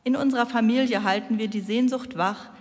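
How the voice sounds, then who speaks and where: A woman's voice: fairly high pitch, fairly quick, a little mellow. A woman from northern Germany giving an earnest, heartfelt testimony during a church service. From Lübeck, northern Germany. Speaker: member of an ecumenical working group. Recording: long church reverb.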